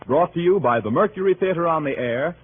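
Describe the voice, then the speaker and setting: A man announcing on 1930s radio in a formal, measured manner. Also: fairly high pitch, expressive, slight background noise. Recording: phone-like 1930s radio recording.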